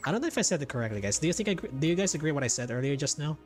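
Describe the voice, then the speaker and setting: A man giving casual, jokey game playthrough commentary as a streamer, with drawn-out reactions. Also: fairly high pitch, big pitch swings. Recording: game music behind the voice.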